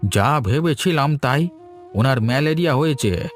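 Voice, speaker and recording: A man voicing a grave but kindly village doctor in a cartoon, with solemn, deliberate delivery. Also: medium pitch, expressive, soft background music, lightly acted. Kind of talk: Bengali moral-tale cartoon dubbing.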